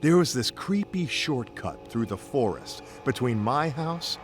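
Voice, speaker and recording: A man's voice: mid-range voice, sing-song, unhurried. A man narrating a scripted first-person story in a theatrical, folksy, playful manner. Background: music bed.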